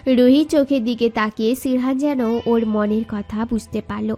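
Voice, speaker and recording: A woman's voice: fairly high pitch, fairly even intonation, normal speed, mellow. A woman narrator reading a scripted romantic story. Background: background music.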